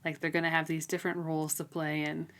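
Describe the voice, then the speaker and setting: A woman's voice: deep voice, level tone, fast talker, slight background noise. A woman co-hosting a podcast, chatting casually and informally about a book, peppering her sentences with "like". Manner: informal, frequent "like" as filler. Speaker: podcast co-host. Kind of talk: casual book discussion chat.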